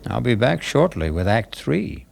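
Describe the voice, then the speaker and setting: A man's voice: medium pitch, big pitch swings, measured pace, vocal fry, a little mellow. A man narrating an old radio mystery as host, with a wry, theatrical delivery. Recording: vintage radio recording with music.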